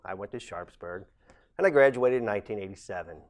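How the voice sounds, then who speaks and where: Late-40s man, somewhat low voice, formal and earnest, local candidate addressing viewers. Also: expressive, quick pace. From Ohio, USA. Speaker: council president candidate. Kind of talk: campaign statement to camera.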